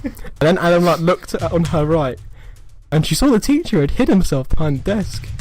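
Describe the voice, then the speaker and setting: A man's voice: a little high, very animated, normal speed, a little mellow. A man reading an amateur story aloud as a comic dramatic reading, mock-serious, drawing out phrases and stumbling over words. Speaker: reader of amateur fan fiction.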